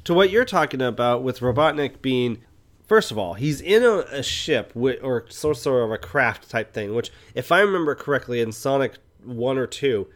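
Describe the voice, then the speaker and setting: A man with a medium-pitched, everyday voice talks as a podcast co-host. He chats casually about films, laying out his points in a conversational, explanatory manner. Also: lively intonation, normal speed. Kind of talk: casual movie discussion chat.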